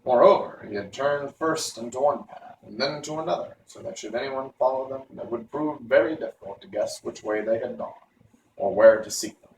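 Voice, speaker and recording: A man's voice: medium pitch, normal speed, creaky. A man narrating a children's novel as he reads it aloud. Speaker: story reader. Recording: roomy, noisy, distant microphone.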